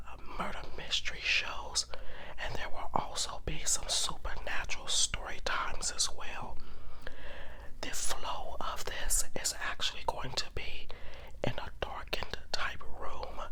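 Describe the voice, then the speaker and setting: A person telling a true crime story in an ASMR style, whispering softly and deliberately, with pauses and repeated phrases. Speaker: host and storyteller of an ASMR channel.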